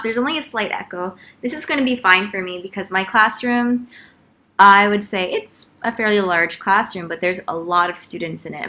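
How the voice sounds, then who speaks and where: A young woman with a bright, forward voice at an average pitch talks to camera in a living room. She explains things in a chatty, informal tutorial style, picked up by a laptop microphone at about arm's length. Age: young adult. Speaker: vlogger and teacher. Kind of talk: casual tutorial talk to camera. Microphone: laptop mic at about arm's length.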